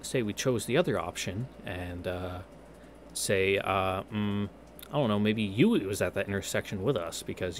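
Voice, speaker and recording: A man's voice: low voice, gravelly, slight background noise. A man reading a visual novel aloud, breaking off into wry, informal commentary, with game music behind him. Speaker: let's-play narrator. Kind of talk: visual novel read-through with casual commentary.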